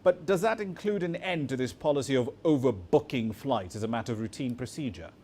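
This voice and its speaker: A man's voice: mid-range voice, animated, a little fast, darkish tone. A man anchoring live news and putting formal questions to a reporter. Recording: noticeable background ambient noise.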